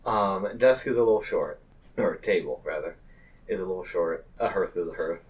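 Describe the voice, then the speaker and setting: A man acting as game master, describing scenes in an improvised tabletop game with halting, deliberate phrasing. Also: sits low.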